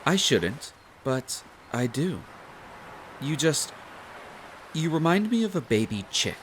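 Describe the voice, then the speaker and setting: A man's voice: on the high side, sing-song, slow pace, a bit bright, slight background noise, heavily acted. A man acting as a smitten young barista on a beach outing in an intimate roleplay audio, playful, bashful and caring as he rambles. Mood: concerned, tender.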